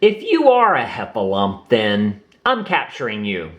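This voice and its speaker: A man reading aloud and voicing an eager, excited little kangaroo boy in a storybook. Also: fairly low pitch, a little slow, exaggerated voice. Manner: excited, pleading.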